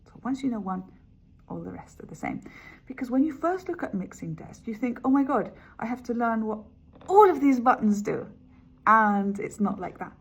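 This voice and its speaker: A woman's voice: a little high, lively intonation, moderate pace, breathy edge, rough recording. A woman raised in Colombia whose first language is Spanish, talking candidly and thoughtfully about her music production studies, with frequent "like" and "you know". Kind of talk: reflective testimonial.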